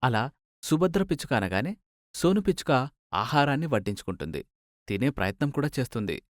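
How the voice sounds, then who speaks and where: A man's voice: mid-range voice, sing-song, a little fast, dry recording, clean studio sound. A man narrating a children's bird fable in storybook style.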